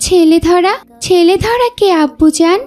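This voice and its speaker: A woman's voice: very high-pitched, unhurried, a little mellow. A woman voicing an anxious, inquisitive little girl with an innocent, questioning manner in a cartoon. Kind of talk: Bengali Islamic cartoon dubbing.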